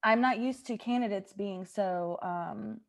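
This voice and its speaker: A flustered newswoman, played by a comedy improviser, hesitant and apologetic. She speaks earnestly at an average pitch for a woman. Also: unhurried, creaky. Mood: flustered, polite.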